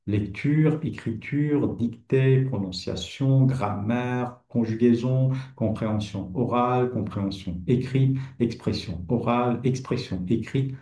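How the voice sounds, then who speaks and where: Middle-aged male teacher, persuasive promo read, mellow tone, echoey room. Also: mid-range voice. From Kabylie, Algeria. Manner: addresses the listener informally, calm and persuasive. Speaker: Arabic teacher and platform founder. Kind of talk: scripted promotional presentation.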